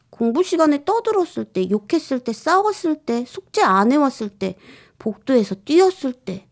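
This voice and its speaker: A young schoolboy, played by a woman, tells his own story with a sense of grievance. The voice is high and very animated, plaintive and expressive in storybook style.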